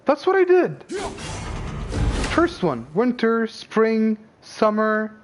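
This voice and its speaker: Brief: animated man playing a game, cursing, loud game music behind. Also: high voice, slow pace. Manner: excitable, swearing, thinks aloud. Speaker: game streamer. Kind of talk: let's-play commentary.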